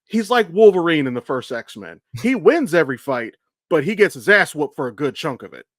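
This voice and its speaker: A man's voice: a little high, big pitch swings, brisk, dry recording. Man chatting casually, very dry close sound. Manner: blunt, sweary enthusiasm. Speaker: podcast co-host and amateur voice actor. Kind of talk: casual podcast chat.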